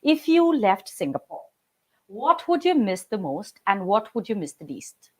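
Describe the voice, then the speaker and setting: A woman hosting an interview show, lively and animated as she asks questions and addresses viewers. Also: mid-range voice. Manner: lively, animated, quick laughs. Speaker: show host.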